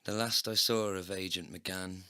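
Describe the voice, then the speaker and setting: A man's voice: deep voice, steady tone, measured pace, sharp tone, clear, resonant tone, dry recording, studio quality. A man delivering scripted first-person narration for a comedy story, speaking in character as the narrator.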